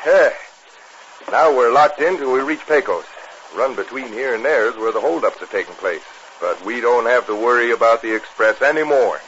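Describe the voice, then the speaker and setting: An actor playing a jovial, excitable Western train guard in a 1950s radio drama. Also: male voice, medium pitch, moderate pace, natural voice. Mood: chatty, amused. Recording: noisy, muffled 1950s radio recording.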